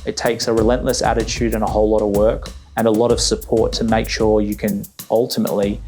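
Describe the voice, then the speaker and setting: Man, program leader, low pitch, even delivery, music bed. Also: quick pace. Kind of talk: documentary interview and voice-over narration. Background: music underneath.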